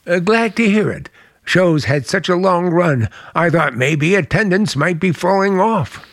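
An actor playing a charming middle-aged American uncle with quiet menace under a genial, deliberate manner, in vintage radio drama style. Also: male voice, high voice, animated, no echo, studio quality, full character voice.

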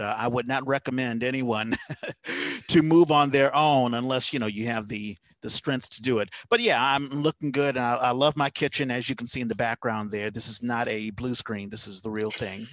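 A man's voice: medium pitch, fairly even intonation, normal speed, fairly bright tone, no echo. A man hosting a casual interview, talking in a rambling, conversational way. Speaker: podcast host. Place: indoors at home, on a video call.

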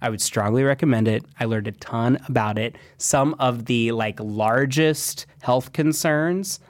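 A man's voice: mid-range voice, no room sound. Rambling man co-hosting, dry sound. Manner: rambling, halting with fillers. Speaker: radio show co-host. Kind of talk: casual chat.

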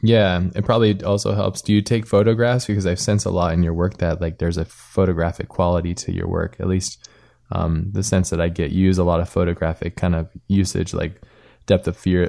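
A man's voice: low-pitched, creaky, warm tone. A man hosting a casual interview, rambling a little as he searches for words. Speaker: podcast host and interviewer.

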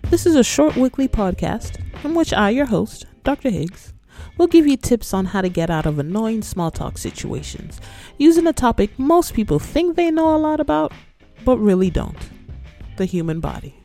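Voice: medium pitch, sing-song, moderate pace, dark tone, soft background music. A podcast host narrating a scripted educational piece in a playful, conversational way.

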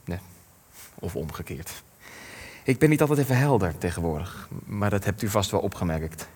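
An actor playing an elderly war survivor in a radio play, grieving and ashamed, speaking in measured, weighty phrases. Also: male voice, deep voice, big pitch swings, a little mellow, slightly rough, exaggerated voice. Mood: ashamed, haunted. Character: elderly male war survivor, grief-stricken, ashamed, plain-spoken. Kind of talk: radio play acting, testimony. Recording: long hall-like reverb.

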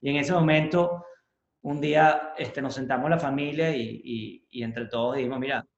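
Venezuelan man, halting storyteller, roomy sound. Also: medium pitch, steady tone, gravelly, very noisy. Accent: Venezuelan Spanish. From Caracas, Venezuela. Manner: halting, repeats words, mixes in English words. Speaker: interview guest, business professional. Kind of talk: casual conversational interview, personal storytelling. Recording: roomy echo, slightly dull lo-fi sound.

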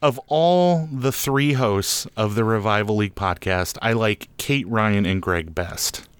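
A man's voice: fairly low pitch, a little slow. A man presenting podcast interviews in a casual, mock-formal chatty style. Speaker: podcast host introducing interviews.

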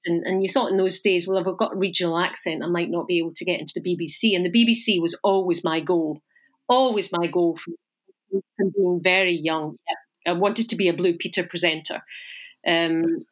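A woman's voice: fairly low pitch, fairly even intonation, a little fast, low-quality recording. A middle-aged Scottish woman with a Scottish accent, talking as an interview guest in a chatty, reflective way, often restarting and repeating words. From Scotland.

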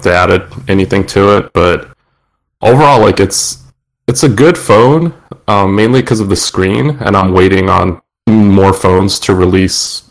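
A man's voice: low-pitched, poor audio. A man co-hosting a casual tech podcast, easygoing and hesitant, with lots of fillers. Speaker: podcast co-host.

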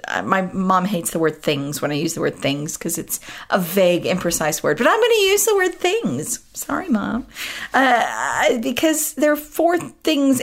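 Woman hosting a podcast, croaky voice, chatty, keeps interrupting herself, mid pitch. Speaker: podcast host. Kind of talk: casual solo podcast talk.

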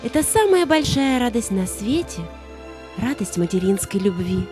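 A woman's voice: on the high side, sing-song, music in the background. A woman playing a tender, radiant young mother, the spirit of maternal love, in an audio play. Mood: tender, loving. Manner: tender, unhurried, caressing address.

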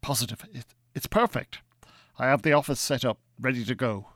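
An actor in an audio drama playing a polite, formal Irish con artist posing as a professor. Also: male voice, mid-range voice, a little fast, fairly bright tone, natural voice. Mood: businesslike.

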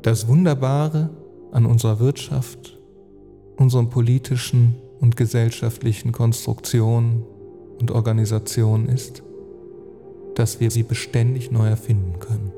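A man narrating an earnest, reflective spoken essay, phrasing deliberately and emphatically with rhetorical weight. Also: mid-range voice, level tone, dark tone. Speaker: speaker and author on climate and sustainability. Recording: long reverb, music underneath. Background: music.

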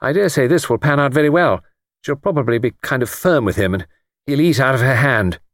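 A man voicing a brisk, confident, chummy male friend in audiobook dialogue, breezy, slangy and emphatic. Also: medium pitch, expressive, no echo, clean studio sound, voice pushed up, lightly acted. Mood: breezy, gossipy.

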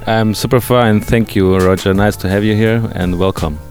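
A man's voice: on the low side, steady tone, moderate pace, darkish tone, soft background music. A man who manages a bar, giving brief and plain answers as an interview guest. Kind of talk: interview conversation.

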